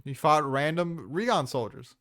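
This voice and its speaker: Fairly high-voiced man arguing back casually. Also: expressive, unhurried, fairly bright tone, dry recording, studio quality. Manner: argumentative, slangy. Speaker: co-host debating anime character rankings. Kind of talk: casual debate.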